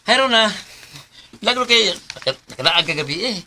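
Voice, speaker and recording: An actor with a Visayan Filipino accent playing a sociable, teasing boyfriend in a radio drama. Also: male voice, high-pitched, sing-song, moderate pace, bright, forceful tone, slight background noise, heavily acted. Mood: breezy, teasing.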